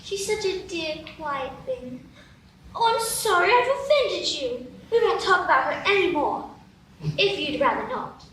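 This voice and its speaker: Woman as curious young girl, very high, bright, roomy echo, distant mic. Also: sing-song, unhurried, slight background noise, exaggerated voice. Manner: wondering, apologetic, chatty. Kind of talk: live radio play acting.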